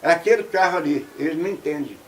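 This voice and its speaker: An older man interviewed about his family, speaking emphatically and growing loud as he makes his point. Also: a little high, fast, recorded from a distance, a little hiss or hum, low-quality recording. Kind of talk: casual recollection.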